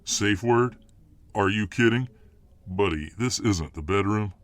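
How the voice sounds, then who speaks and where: A man's voice: fairly low pitch, measured pace, fairly bright tone. A man playing a dominant, boastful, hot-tempered muscular fireman boyfriend in an ASMR roleplay audio drama. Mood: challenging, swaggering. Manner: boastful, cocky swagger.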